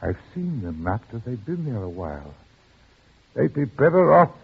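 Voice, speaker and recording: An actor playing an anxious older father protecting his son in a 1940s radio drama, nervous, halting and pleading. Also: mid-range voice, sing-song, gravelly, husky, narrow, tinny audio, exaggerated voice. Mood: distraught. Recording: crackly noisy band-limited old radio recording.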